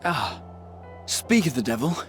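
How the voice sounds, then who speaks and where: A man's voice: mid-range voice, sing-song, a little slow, soft background music. An actor playing a genial, placating male adventurer in a fantasy game, with a casual manner. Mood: wry, placating. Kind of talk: fantasy game cutscene acting.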